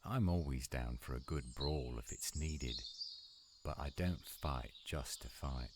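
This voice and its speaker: Gruff tsundere werewolf boyfriend male actor, rock-bottom pitch, teasing and folksy, intimate roleplay, heavy woodland bird and insect noise. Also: measured pace. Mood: disdainful, grumbling. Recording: noisy outdoor ambience with birdsong, insects. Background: birdsong and insects in woods.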